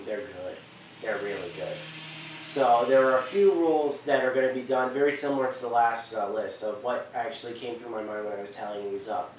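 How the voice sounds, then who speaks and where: A man in his twenties talks casually to camera as a list host, halting and rambling in an earnest way. His voice sits at a middling pitch. It is recorded in a very echoey living room from a distant mic, with faint noise. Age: 20s. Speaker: video game list host. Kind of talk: casual unscripted review to camera. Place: indoor living room on a couch.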